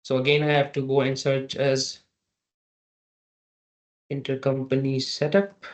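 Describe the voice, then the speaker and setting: A man giving a halting, step-by-step software tutorial as a trainer over an online meeting. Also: mid-range voice, monotone. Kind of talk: screen-share software tutorial. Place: online meeting with screen share. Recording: dull, lo-fi online meeting audio.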